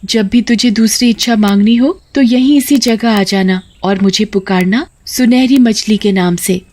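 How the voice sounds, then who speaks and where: A woman's voice: medium pitch, moderate pace, a little mellow, close to an everyday voice. Woman voicing a gracious magical golden fish. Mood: gracious, reassuring. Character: magical golden wish-granting female fish, grateful and generous.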